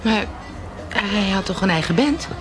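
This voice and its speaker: An actress playing a brusque police detective in a radio drama, with a dry, sarcastic, blunt way of talking. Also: female voice, medium pitch, lively intonation, unhurried, music in the background, natural voice. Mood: cool, probing. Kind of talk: naturalistic Dutch radio play acting.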